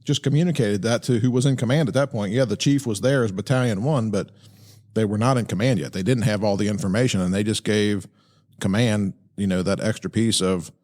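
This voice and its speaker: A man giving conversational commentary as a podcast host, explaining things in a halting, stop-and-start way. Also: on the low side, a little mellow. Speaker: podcast host breaking down fire radio traffic.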